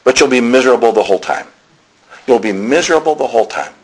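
A man's voice: mid-range voice, lively intonation, fast, poor audio. A man preaching a sermon, explaining to listeners directly and repeating key phrases for emphasis.

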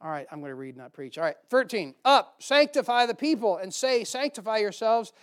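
Male preacher, American accent, high clear voice, middle-aged, folksy, informal sermon delivery with scripture reading. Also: animated, fairly quick. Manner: informal, folksy asides to the congregation. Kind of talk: church sermon with Bible reading.

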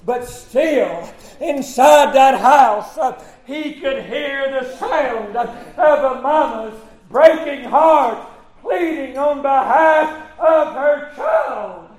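High-pitched man preaching slowly and fervently. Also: expressive. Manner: emphatic, drawn-out words with frequent "uh" punctuating phrases. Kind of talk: impassioned revivalist sermon. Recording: echoey, roomy live sound.

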